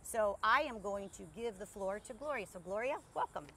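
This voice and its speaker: A woman's voice: mid-range voice, lively intonation, moderate pace, a bit bright, a little hiss or hum. A middle-aged woman hosting a podcast, speaking passionately with repeated phrases, recorded outdoors in a garden on a lapel mic. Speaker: podcast host. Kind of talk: podcast interview intro.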